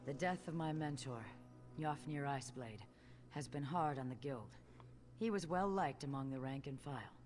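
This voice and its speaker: An actor, a woman, playing an earnest, newly appointed guildmaster in a fantasy game, speaking in a measured, formal way. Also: very deep voice, lively intonation, darkish tone. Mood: solemn. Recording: game music underneath.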